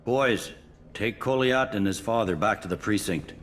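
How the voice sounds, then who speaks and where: An actor playing a gruff, world-weary, middle-aged police captain in a sci-fi video game, wry and matter-of-fact. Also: male voice, lowish voice, normal speed, noisy background, natural voice.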